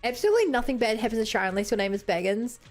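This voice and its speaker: A woman's voice: fairly high pitch, very animated, rapid-fire, faint music behind. A woman with a New Zealand accent chatting casually as she streams a game. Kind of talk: casual livestream chat over a game.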